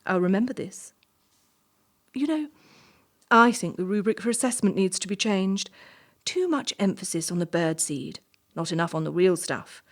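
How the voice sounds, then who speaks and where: A woman playing a ruthless, entitled young assessor in a stage play, brisk, condescending and coolly domineering under a veneer of charm. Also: medium pitch, animated, close to an everyday voice. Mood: cold menace.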